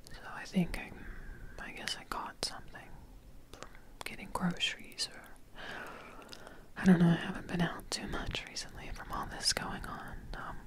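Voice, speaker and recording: A woman in her twenties whispering a roleplayed ASMR video diary close to the mic, in a hushed, halting manner. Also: on the low side. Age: 20s. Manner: hushed, halting, drawn-out delivery. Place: small indoor home studio room. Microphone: close desktop mic with foam windscreen.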